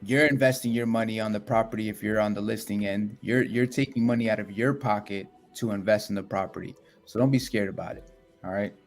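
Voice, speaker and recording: A man's voice: lowish voice, steady tone, fairly quick, soft background music. A man co-hosting a real estate podcast, talking in a blunt, streetwise, casual way. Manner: streetwise, blunt, says "yo" and "folks".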